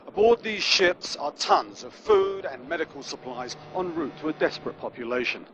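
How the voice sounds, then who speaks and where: A serious war correspondent in a strategy game, with a slightly high voice. He reads formal, grave news reports in a newsreader's delivery, with faint noise underneath. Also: fairly bright tone. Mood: measured, serious.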